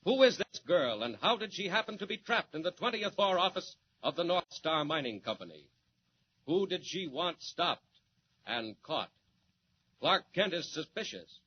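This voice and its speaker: A man's voice: on the high side, very animated, normal speed, muffled recording. A man announcing and narrating a 1940s radio serial in a dramatic, declamatory way. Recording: scratchy 1940s radio transcription.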